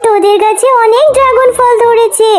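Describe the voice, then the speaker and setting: A woman as a squeaky, sweet little fox child. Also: steady tone, moderate pace, a little hiss or hum. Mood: eager, wistful. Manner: childlike, coaxing. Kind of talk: children's cartoon story dialogue. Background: music.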